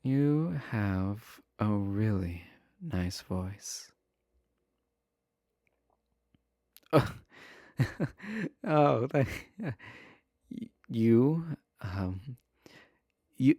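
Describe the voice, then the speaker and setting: A man's voice: medium pitch, lively intonation, very slow, dark tone, no echo, studio quality. A man playing a shy, adorkable imprisoned villain in a romantic roleplay, speaking to the listener in a hesitant, stammering, earnest way. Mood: bashful.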